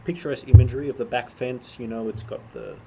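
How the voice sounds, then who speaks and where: A man's voice: mid-range voice, steady tone, quick pace, vocal fry, dark tone, a little hiss or hum. Dry, teasing young man. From Australia. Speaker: co-host. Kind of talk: casual podcast chat.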